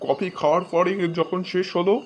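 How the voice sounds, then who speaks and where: A man playing a cheeky, excitable comic character in a cartoon dub. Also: high voice, fairly quick, dull audio, background noise, close to an everyday voice. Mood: complaining.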